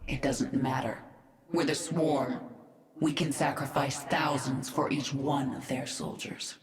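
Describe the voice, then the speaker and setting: Low, echoing, ruthless swarm queen. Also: steady tone. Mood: cold, questioning. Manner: commanding, deliberate. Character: commanding alien swarm queen, once human, ruthless, cold. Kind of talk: video game cutscene acting. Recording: echoey, under music.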